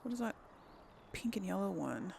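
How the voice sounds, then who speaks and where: A woman's voice: mid-range voice, big pitch swings, moderate pace, gravelly, a little mellow, a little hiss or hum. A woman giving casual let's play commentary, hesitantly thinking aloud as she plays. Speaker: gaming video host.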